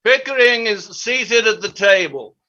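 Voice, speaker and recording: A man acts as an exacting, pompous playwright narrating a stage play. His voice is high and sharp. He declaims each direction deliberately and theatrically. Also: expressive, dull audio, heavily acted. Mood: emphatic.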